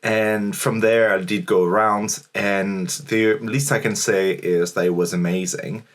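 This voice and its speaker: A young man telling about his travels in a relaxed, chatty way, with lots of small fillers. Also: fairly low pitch, a little slow, no echo. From Morocco. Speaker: travel vlogger. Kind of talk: casual travel vlog narration.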